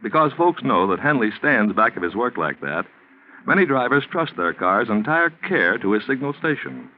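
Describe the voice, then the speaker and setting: A man announcing in 1940s radio style, delivering a sponsor pitch with formal, polished salesmanship. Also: very animated, moderate pace, slight background noise. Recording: muffled 1940s radio recording, faint music.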